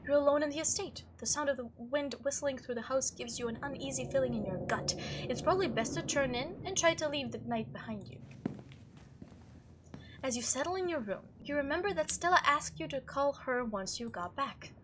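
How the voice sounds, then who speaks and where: A woman playing the measured, suspenseful narrator of a spooky visual novel, reading in a soft storybook style. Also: sits high, animated, noisy background. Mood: hushed, uneasy. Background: faint game music.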